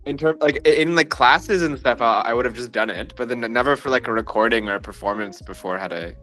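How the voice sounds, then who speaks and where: A man's voice: mid-range voice, quick pace, bright, forceful tone, soft background music, rough recording. A young man from Dallas, a student dancer, talking hesitantly with many restarts. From Dallas, Texas. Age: young adult. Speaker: dancer guest.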